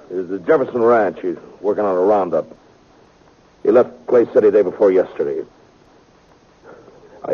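Low male voice, troubled stubborn frontier father, rural western American drawl, halting and folksy, muffled old radio drama. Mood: defensive denial. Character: troubled, stubborn frontier father protecting his outlaw son. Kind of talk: 1950s radio western acting. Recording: muffled, noisy 1950s radio recording.

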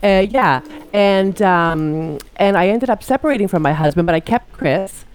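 A woman's voice: mid-range voice, normal speed, a little hiss or hum. A middle-aged woman with a Canadian accent, a podcast guest chatting casually and joking over an internet call. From Ottawa, Canada. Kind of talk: casual chat.

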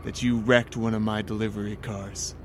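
A man's voice: fairly low pitch, level tone, moderate pace, very noisy, lightly acted. An actor playing a slick, menacing courier boss in an audio drama, drawling and taunting as he leans on an old friend. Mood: scolding.

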